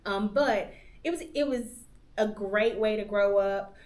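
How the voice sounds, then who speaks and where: A woman's voice: medium pitch, a little slow, darkish tone. Chatty woman telling stories. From Alabama. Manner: chatty, laughs easily, tells stories from her childhood. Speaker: guest. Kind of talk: interview storytelling.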